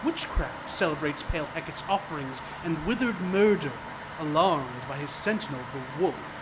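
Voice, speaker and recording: Casting: male actor as a grandiose, menacing killer spouting verse in radio drama, raised pitch, clear vehicle noise. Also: lively intonation, normal speed, lightly acted. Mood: sinister, grandiose. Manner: declamatory, sinister. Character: menacing murderous driver, theatrically declaiming Shakespeare. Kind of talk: live radio theatre acting. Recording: noisy live radio play.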